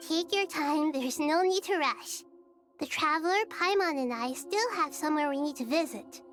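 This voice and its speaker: A high, girlish voice of a wise and gentle young deity for a video game. She speaks in composed, measured phrases, formal yet playful. Faint music plays behind her. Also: animated. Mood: warm, playful. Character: gentle wise childlike female deity and ruler.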